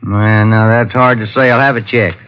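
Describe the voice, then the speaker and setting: A man's voice: lowish voice, fairly even intonation, normal speed, clear, resonant tone, natural voice. A man playing a folksy small-town police chief with rustic talk in an old-time radio drama. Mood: easygoing. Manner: rustic, drawling folksy grammar. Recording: dull vintage radio recording.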